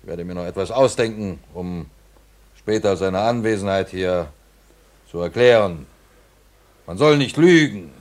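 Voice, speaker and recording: An actor playing a shrewd male doctor in a 1950s radio play, formal, measured and persuasive. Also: sits low, lively intonation, a little mellow. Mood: stern, persuasive.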